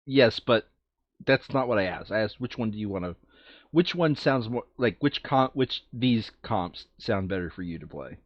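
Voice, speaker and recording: A man's voice: fairly low pitch, expressive, moderate pace, no echo, lo-fi sound. A man chatting casually and thinking aloud while he plays a board game. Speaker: board game player on a playthrough video.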